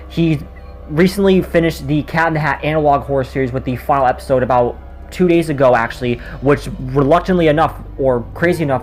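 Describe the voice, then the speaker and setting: A man giving a casual spoken video review in a rambling way, often stumbling over his words. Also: mid-range voice. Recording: noticeable background noise.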